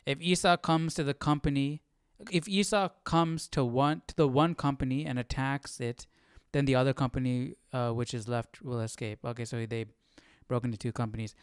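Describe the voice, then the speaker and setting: A man's voice: mid-range voice, steady tone, moderate pace, clear, resonant tone, no echo. A man hosting a casual live Bible study, thinking aloud and questioning, often checking with "right?".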